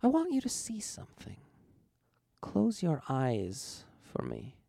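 A man's voice: mid-range voice, big pitch swings, unhurried, warm tone, no echo, studio quality, voice pushed up. A man voicing an ancient, seductive female-sounding evil spirit with a coaxing drawl in an audiobook story.

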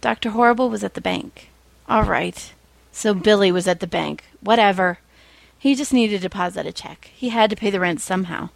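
A woman reading fan fiction aloud as an expressive storyteller, with a wry, informal manner. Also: medium pitch, moderate pace, vocal fry, no echo.

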